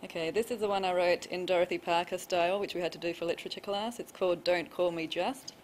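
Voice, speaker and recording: A woman's voice: on the low side, fairly even intonation, quick pace, slight background noise, rough recording. A woman reading her own poetry, with casual introductions between pieces. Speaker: poet reading own work.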